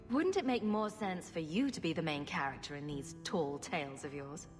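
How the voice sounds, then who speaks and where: A woman's voice: deep voice, animated, normal speed, music in the background. An actress playing a self-assured female adventurer in a video game, with a dry, sardonic delivery. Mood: amused, skeptical. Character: wry, self-assured female adventurer hero with quick sarcastic wit.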